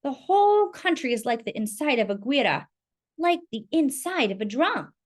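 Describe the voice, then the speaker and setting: A woman's voice: high voice, very animated, normal speed. A woman voicing a woman food seller in a storybook, fondly reminiscing. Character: warm woman empanada seller.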